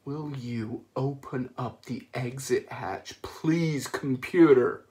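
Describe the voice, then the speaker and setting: A man's voice: medium pitch, slow pace, mellow, natural voice. A man voicing a brash, careless male starship captain who talks offhandedly and dismissively. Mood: offhand.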